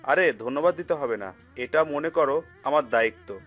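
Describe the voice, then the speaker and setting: A man's voice: on the high side, lively intonation, moderate pace, fairly bright tone. A man playing a kindly, generous middle-aged uncle in an audio story, hearty and reassuring. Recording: music under the voice.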